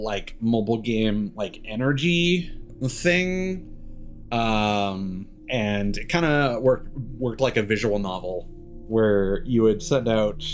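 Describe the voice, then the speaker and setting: A man's voice: medium pitch, slight background noise. A man streaming a game, reading text aloud and adding cheeky, sardonic asides. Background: music.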